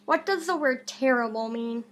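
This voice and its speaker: A woman reading a storybook aloud as a teacher does, deliberately and interactively. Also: sits high, slight background noise. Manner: deliberate, with questions to a listener.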